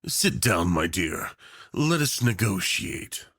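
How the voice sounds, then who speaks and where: Male actor as obsessive tyrant, audio roleplay villain, mock-polite, playful menace, swooping pitch, low fry, flirtatious drawl. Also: sing-song, slow, fairly bright tone, no echo, studio quality, full character voice. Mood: seductive, obsessive. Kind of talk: romantic villain ASMR roleplay.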